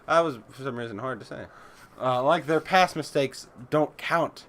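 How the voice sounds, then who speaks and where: A young man co-hosting a let's play, chatting casually and haltingly reading game text. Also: medium pitch, fairly bright tone. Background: background music.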